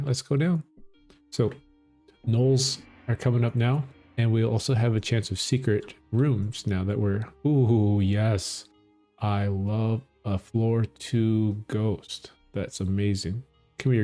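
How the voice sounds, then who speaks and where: A middle-aged man with a Minnesota accent, explaining a game in a relaxed, easygoing way. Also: lowish voice, slow, soft background music. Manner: relaxed, explanatory, chuckles often. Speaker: gaming channel host. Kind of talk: casual gameplay commentary.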